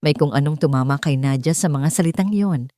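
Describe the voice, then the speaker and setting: A woman's voice: sits low, a little fast, dark tone, no room sound, clean studio sound. A woman reading a scripted, dramatic love story as its narrator. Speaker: narrator of romance stories.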